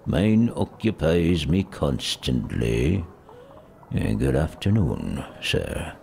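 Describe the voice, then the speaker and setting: An actor playing an elderly, irritable miser in an audio drama, gravelly and curt with scornful grumbling. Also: male voice, extremely low pitch, sing-song, slow, a little mellow, faint music behind, full character voice. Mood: curt, dismissive.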